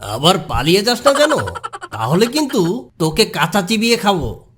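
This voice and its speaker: A woman's voice: mid-range voice, big pitch swings, normal speed, background noise, close to an everyday voice. A woman voicing a big, bossy monster in a children's cartoon, scolding and teasing yet protective. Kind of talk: children's cartoon character dialogue.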